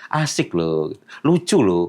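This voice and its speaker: A man's voice: sits low, big pitch swings, slow pace, darkish tone. A middle-aged man talking casually in an interview, explaining animatedly and acting out callers' voices.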